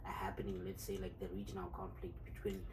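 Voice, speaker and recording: A man's voice: low voice, level tone, quick pace. A man hosting a casual podcast, asking questions in a friendly way, often with fillers. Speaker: podcast host. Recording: roomy sound from a distant mic with noise.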